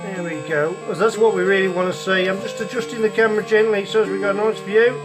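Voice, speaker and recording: Male hobby host, croaky and high-pitched, loose rambling delivery, chiptune music under the talk. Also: steady tone, fast. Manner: chatty, meandering, many ums and asides. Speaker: host of a retro computer show. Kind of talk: casual rambling review chat. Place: home hobby room with computers and a soldering bench. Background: chiptune music playing from a computer.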